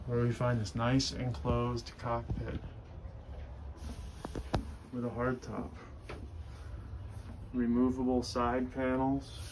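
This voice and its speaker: A man giving a slow, steady walkthrough of a sailboat, listing its features as he films on a handheld camera aboard at the dock. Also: medium pitch, background noise. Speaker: boat broker giving a walkthrough. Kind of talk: narrated walkthrough tour.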